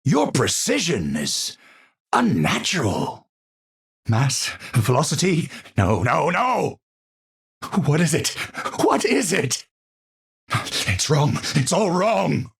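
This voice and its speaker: Game role: eccentric, troubled physicist. High-pitched for a man, husky breath, theatrical, erudite, precise diction. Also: wildly swooping pitch, slow pace, pauses often, heavily acted. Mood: distressed, muttering. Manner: formal, precise, theatrical delivery. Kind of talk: video game character voice lines.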